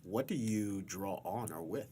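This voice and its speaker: A man hosting a casual interview, bantering and asking questions in a rambling, halting way. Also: deep voice, warm tone, slight background noise. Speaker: interviewer, host.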